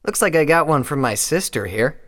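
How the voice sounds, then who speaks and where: Man voicing a good-natured, higher-pitched male pony. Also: animated, a little fast, a bit bright, big-room sound. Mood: casual. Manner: friendly, enthusiastic.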